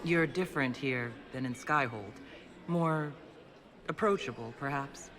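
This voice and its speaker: A man's voice: mid-range voice, unhurried, lightly acted. A man voicing a male leader in a video game, asking plain, direct questions. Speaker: player character leader. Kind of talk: video game cutscene dialogue. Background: orchestral music.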